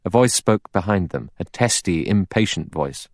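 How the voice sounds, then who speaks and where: A man's voice: deep voice, lively intonation, normal speed, dry recording, studio quality. A man reading scripted novel narration as an audiobook narrator.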